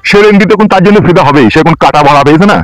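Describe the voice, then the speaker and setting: A man's voice: a little high, rapid-fire, fairly bright tone, faint music behind, lightly acted, poor audio. A man voicing a hapless, resigned husband, crude and complaining, in a comedy cartoon. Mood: resigned, grumbling.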